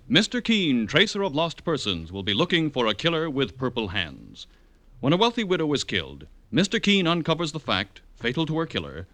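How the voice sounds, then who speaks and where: A man announcing an old radio show with a brisk, emphatic promo delivery. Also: mid-range voice, pushed and bright, no echo.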